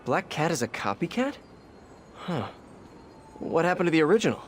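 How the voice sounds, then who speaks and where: A man's voice: fairly high pitch, sing-song, normal speed, a little hiss or hum. An actor playing a wisecracking, eager young American male superhero in a video game, with a casual delivery. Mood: wry, breezy. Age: young adult.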